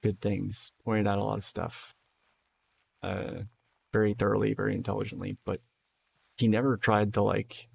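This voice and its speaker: A tired, hoarse man streaming a game, rambling in loose casual talk and swearing freely. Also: on the low side, little pitch movement, normal speed, long pauses, slight background noise. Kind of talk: casual live-stream chat.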